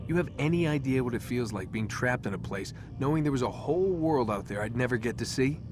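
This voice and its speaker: Music plays clearly behind an actor's performance of a male video game character in his twenties. He is guarded and wry, with a casual, laconic delivery at medium pitch. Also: lively intonation, fairly quick, lightly acted. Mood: resentful, subdued. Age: 20s. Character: guarded, wry young man held captive, casual ex-assassin. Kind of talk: video game cutscene acting.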